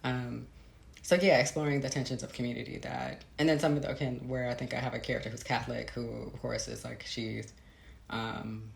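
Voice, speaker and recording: A woman's voice: a bass voice, creaky, slight background noise. A woman giving a reflective, conversational interview as a novelist, thoughtful and hesitant, circling back as she builds her ideas. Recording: roomy, echoey sound.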